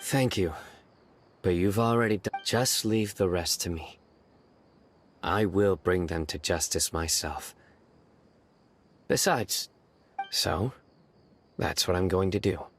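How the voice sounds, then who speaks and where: A man playing a stern, stoic young law enforcer in a video game, speaking in a solemn, restrained, deliberate manner. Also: low voice, a little hiss or hum. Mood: resolute. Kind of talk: dramatic video game voice acting. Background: background music.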